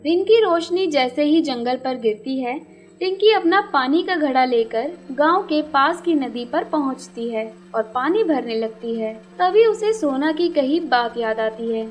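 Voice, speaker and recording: A woman reading scripted narration for a children's moral cartoon story in a measured storytelling way. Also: high voice, lively intonation.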